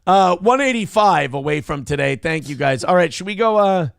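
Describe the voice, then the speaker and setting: A gravelly-voiced man hosting a morning show, with a slightly high pitch. His speech swings widely in pitch as he rambles in exasperation and swears freely. He reads out donor messages between bits of banter. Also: lively intonation, normal speed, fairly bright tone, no room sound, clean studio sound. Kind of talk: profane casual banter.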